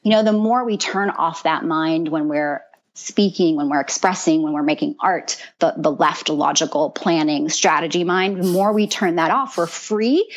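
A woman's voice: low voice, moderate pace. Earnest woman guest on dull audio. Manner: earnest, animated, with repeated words. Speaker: guest, mentor to creative women. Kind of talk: casual podcast conversation.

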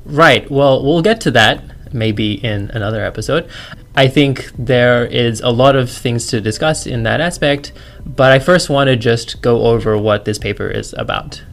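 A man's voice: medium pitch, moderate pace, gravelly. Chatty Australian-accented male lecturer. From China. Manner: chatty, self-deprecating, laughs easily. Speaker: guest lecturer. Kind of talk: casual podcast interview.

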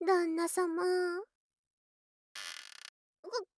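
Snake-yokai bride, high pitch, slow delivery, doting, possessive, old-fashioned haughty phrasing, ASMR drama. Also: female voice, fairly even intonation, darkish tone, a little hiss or hum, heavily acted. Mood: pouting, insistent. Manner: archaic, haughty yet affectionate old-fashioned speech. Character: doting, possessive female lamia yokai newlywed wife. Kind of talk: ASMR situation voice drama acting.

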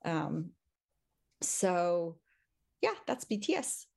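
A woman in her forties, an English professor and podcast guest, chatting casually and thoughtfully, with many pauses and frequent laughs. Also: fairly low pitch, big pitch swings, gravelly, a little mellow. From Taiwan, raised in the US. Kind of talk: casual conversation.

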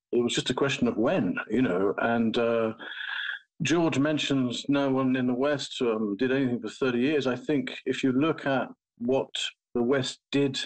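Halting male political commentator. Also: medium pitch, low-quality recording. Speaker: political commentator, panel guest.

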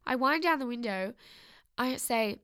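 Giggly high-voiced woman co-host. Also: animated, a little slow, a bit bright, clear, resonant tone, dry recording, clean studio sound. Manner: teasing, giggly, setting scenes. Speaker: podcast co-host. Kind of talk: casual chat and storytelling.

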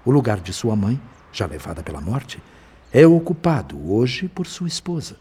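A man playing a wise, calm spirit physician in a radio drama, explaining things in a measured, formal, fraternal way. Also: mid-range voice, breathy edge. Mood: compassionate, solemn.

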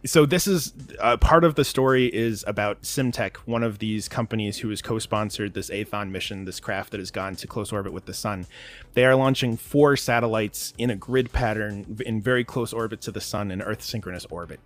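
A man's voice: on the low side, a little fast. A man chatting as an interview guest, explaining his creative work in an enthusiastic, casual way. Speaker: podcast guest, audio fiction writer.

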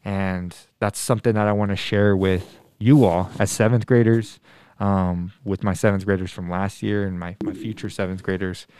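A man in his twenties from Denver, a math teacher, talks through students' questions. His voice sits fairly low and sounds smooth and resonant. He speaks earnestly and thoughtfully, often pausing with ums and restarting his sentences. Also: steady tone, darkish tone, no echo. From Denver, USA. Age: 20s. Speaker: middle school math teacher. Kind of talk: casual interview answers to students.

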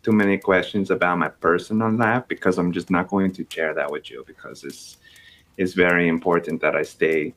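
Man voicing a female actress, Colombian accent, vain, tipsy, blunt, rambling, lowish voice. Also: steady tone, moderate pace, a bit bright. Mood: haughty tipsy. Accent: put-on Colombian Spanish accent. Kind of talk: improvised comedy character.

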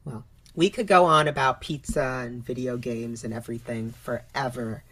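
A man's voice: medium pitch, steady tone, moderate pace, fairly bright tone, low-quality recording. An easygoing, agreeable man hosting a casual podcast chat. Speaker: podcast host.

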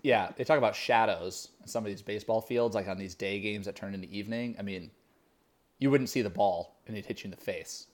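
A man co-hosting a podcast, recalling stories in an animated, jokey casual chat. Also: lowish voice, brisk. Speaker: podcast co-host.

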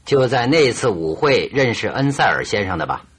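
Private detective, medium-pitched husky voice, very varied intonation, probing, wry, composed, radio drama. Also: male voice, big pitch swings, a bit bright. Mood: curious, wry. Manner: probing, measured questioning with wry interjections. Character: composed, inquisitive male private detective questioning a client.

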